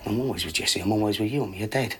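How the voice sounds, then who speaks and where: A man's voice: on the low side, very fast, a bit bright, slight background noise, natural voice. An actor playing a volatile, menacing middle-aged father in a naturalistic film, aggrieved, repeating himself and swearing. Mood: defensive. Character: volatile, menacing father of a troubled teenage son.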